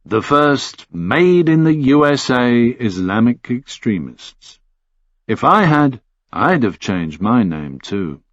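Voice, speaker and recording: A man's voice: lowish voice, dry recording, dull audio. A man reading scripted first-person thriller narration as an audiobook narrator.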